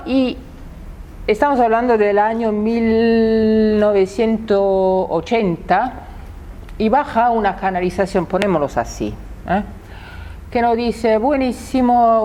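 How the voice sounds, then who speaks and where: A woman's voice: a little high, warm tone. A woman in her sixties, a native Italian speaker with an Italian accent, giving a public talk and often repeating words as she searches for them. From Italy.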